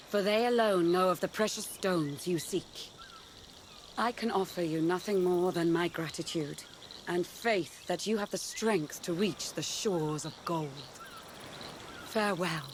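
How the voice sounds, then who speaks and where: A woman's voice: lowish voice, a little hiss or hum, close to an everyday voice. Woman playing a solemn, cryptic mystic. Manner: slow, measured, solemn. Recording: game cutscene with music behind.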